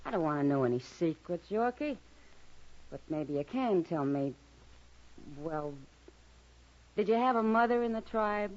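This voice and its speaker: A woman playing a caring, gently firm frontier townswoman in a radio western. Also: lowish voice, animated, a little slow, pauses often. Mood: gentle. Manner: tender, soothing with a child. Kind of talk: 1950s radio western acting. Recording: dull old radio recording.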